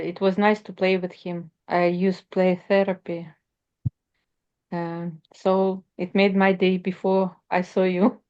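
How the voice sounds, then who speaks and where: A woman's voice: medium pitch, a little mellow, clean tone. A woman sharing casually in an online group meeting on a video call. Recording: dull video call audio.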